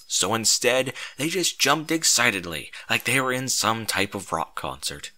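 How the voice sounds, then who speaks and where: A man's voice: medium pitch, animated, moderate pace, pushed and bright. A man narrating a comedic fan-fiction story with mock-epic, theatrical emphasis.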